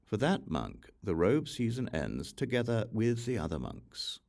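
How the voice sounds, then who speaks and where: Deep-ranged man narrating with lively intonation. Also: a little slow, vocal fry. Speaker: audiobook reader. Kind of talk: scripted scripture narration.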